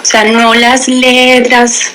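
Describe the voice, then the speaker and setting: Bright-voiced woman telling her story. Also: fairly high pitch, slow pace. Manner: lively, candid storytelling, acts out quoted dialogue. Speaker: interview guest, language teacher. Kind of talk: radio interview, personal storytelling.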